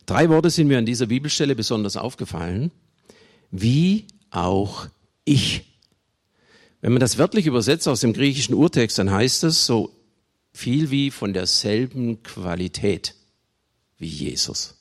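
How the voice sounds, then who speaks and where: Male preacher from Germany: creaky voice, swooping pitch, emphatic phrasing with pauses, speaking to a congregation. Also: lively intonation. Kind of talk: sermon to a congregation.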